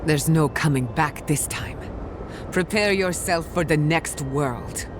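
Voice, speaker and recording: A woman's voice: sits low, takes it slowly, background noise. An actress voicing a tough, resolute female fighter in a fantasy video game, grim and hard-edged. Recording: voice under loud battle music.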